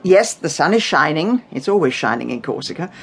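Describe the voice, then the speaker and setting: A woman's voice: deep voice, sing-song, a little fast, vocal fry, husky, heavily acted. An actress playing an acerbic, impatient middle-aged British woman in a radio drama, clipped and sarcastic. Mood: dry, grumbling.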